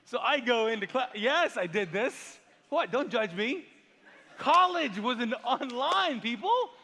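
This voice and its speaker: A male pastor raised in Kuwait whose first language is Urdu, preaching with lively storytelling and rhetorical questions. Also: extremely high pitch, unhurried, big-room sound. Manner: animated storytelling, big swings in loudness, rhetorical questions. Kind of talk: sermon. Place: large church hall.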